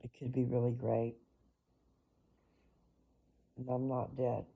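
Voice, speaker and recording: A woman's voice: extremely low pitch, level tone, slow, gravelly, dark tone, husky. An older woman chatting casually in a personal vlog, rambling and talking straight to her viewers. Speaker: vlogger.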